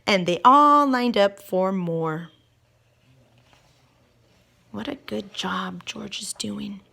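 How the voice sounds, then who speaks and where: A woman reading a picture book aloud to children in an expressive way, drawing out key words and putting questions to her listeners. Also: mid-range voice, very slow, creaky.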